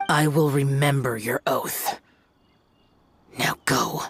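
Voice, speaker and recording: An actor playing a stern, solitary young guardian in a video game, speaking tersely and coldly. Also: male voice, mid-range voice, fairly even intonation, slow, vocal fry, soft background music. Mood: solemn. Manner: terse, cold, quiet. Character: stern young male demon-hunting guardian, aloof and solitary.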